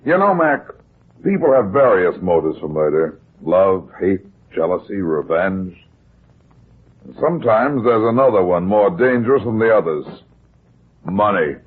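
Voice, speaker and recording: A man's voice: deep voice, sing-song, measured pace, slight background noise. An actor playing a shrewd, unruffled male private detective in an old-time radio drama, firing terse, probing questions. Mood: calm, calculating. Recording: muffled, tinny old radio recording.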